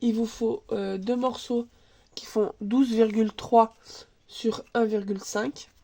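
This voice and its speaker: A woman's voice: on the high side, fairly even intonation, takes it slowly, creaky, dark tone. A woman with a stuffy nose from a cold, hosting a casual crafts tutorial from her home table and thinking aloud as she works. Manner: chatty, thinks aloud while working, filler words. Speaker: crafts channel host. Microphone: camera filming from above.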